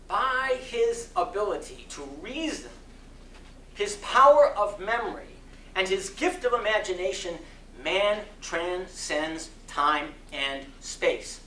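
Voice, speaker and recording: A man performing a dramatic sermon reading in an oratorical style, with solemn, emphatic phrasing and long deliberate pauses. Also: sits high, lively intonation, pushed and bright. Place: community center hall before an audience. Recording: roomy echo, distant mic, faint noise.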